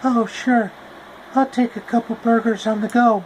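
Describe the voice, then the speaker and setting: A man's voice: very high voice, steady tone, moderate pace, darkish tone, slight background noise, pitched above the performer's own voice, low-quality recording. A man voicing young Dorothy, an upbeat, eager girl in a raised, chirpy voice.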